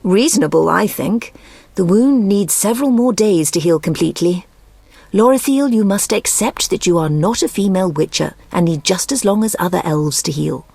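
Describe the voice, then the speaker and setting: An actress playing a stern, motherly priestess healer in a video game, with a raspy, creaky voice. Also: medium pitch, animated, moderate pace, darkish tone, no echo. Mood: firm, caring.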